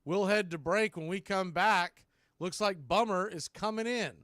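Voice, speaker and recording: A man calls baseball live for a radio-style stream. His voice sits a little high, with folksy, punchy delivery. The sound is close and dry, with no room around it. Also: unhurried, fairly bright tone, no echo. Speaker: baseball play-by-play host. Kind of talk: live radio sports commentary.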